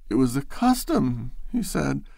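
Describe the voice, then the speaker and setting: A man's voice: medium pitch, sing-song, measured pace, gravelly, dark tone, no echo, voice pushed up, full character voice. A man voicing a naive young woman who sobs and quavers as she pleads. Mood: distraught, pleading. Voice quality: quavering, sobbing.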